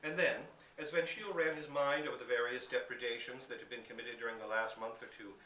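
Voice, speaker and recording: A man reading a literary short story aloud to listeners as an expressive storyteller, recorded live indoors. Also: mid-range voice, brisk. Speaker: story reader. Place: indoor public reading in a bookstore. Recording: roomy, distant live recording with hiss.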